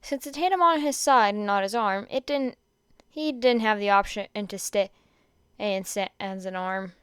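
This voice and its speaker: Woman reading a fanfic out loud, clear voice, no room echo, trips on words and redoes them. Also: mid-range voice, moderate pace, studio quality. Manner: stumbles over words and rereads phrases. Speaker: podfic reader. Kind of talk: amateur fan fiction narration read aloud.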